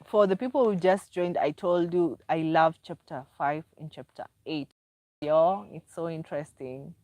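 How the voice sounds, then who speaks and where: A woman's voice: low voice, normal speed, mellow, no room sound. A Kenyan woman hosting a casual livestream, chatty and repetitive as she greets viewers by name and promotes her books. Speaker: livestream host and author.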